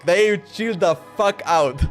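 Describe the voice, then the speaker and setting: High-voiced young man rambling on stream. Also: slow, over music. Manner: rambling, slangy, stammering repetitions. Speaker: livestreamer playing a game. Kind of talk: casual livestream chat.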